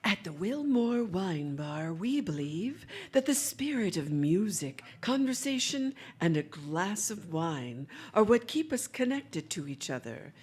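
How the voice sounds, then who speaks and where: Slow, husky woman announcer. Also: on the low side, sing-song, a little mellow. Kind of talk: scripted sponsor advertisement.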